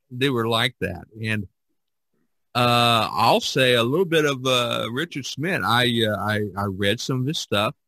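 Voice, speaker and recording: A man's voice: fairly low pitch, sharp tone, no room sound. A man hosting a podcast in a casual, rambling and jokey way. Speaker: podcast host. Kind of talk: casual chat about painting.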